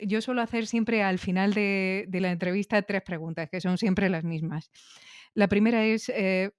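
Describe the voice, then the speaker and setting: A woman's voice: medium pitch, clean tone, no echo, clean studio sound. A woman with a Peninsular Spanish accent hosting a conversational interview, chatty and musing aloud with frequent tag questions. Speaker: podcast interviewer, host.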